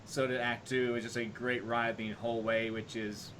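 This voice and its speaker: A man hosting casual group banter, playful and excitable in the way he talks. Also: medium pitch, normal speed, a little hiss or hum. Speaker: host of a hobby voice-impression reading.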